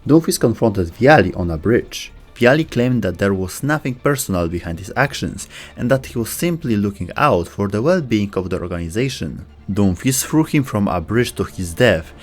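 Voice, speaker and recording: A man's voice: lowish voice, animated, normal speed, few pauses. A man delivering scripted storytelling narration for a video game lore video. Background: faint background music.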